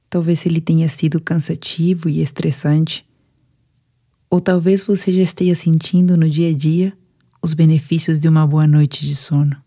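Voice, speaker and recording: A Brazilian woman narrating a scripted guided sleep meditation in a soothing, gentle, unhurried manner. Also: deep voice.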